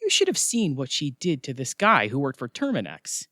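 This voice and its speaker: An actor playing a breezy, wisecracking attendant who checks souls into the afterlife in an audio theatre comedy, chatty and flippant. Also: male voice, mid-range voice, wildly swooping pitch, normal speed, sharp tone, no echo, studio quality. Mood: upbeat, reassuring.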